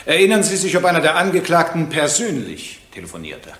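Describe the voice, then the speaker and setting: Stern judge, echoey and animated. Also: male voice, on the high side, a little fast. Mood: stern, probing. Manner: formal, authoritative, probing. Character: stern, impatient male presiding judge. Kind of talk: German radio courtroom drama acting. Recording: echoey courtroom-like room.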